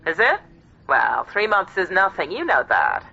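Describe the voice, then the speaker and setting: A breezy agent, comes down a phone line with a bright, forward tone. She is lively and coaxing, always reassuring, in radio comedy style. The sound is band-limited. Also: on the low side, normal speed, creaky, breathy edge, exaggerated voice. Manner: reassuring, upbeat, persuasive. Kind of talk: radio comedy drama acting.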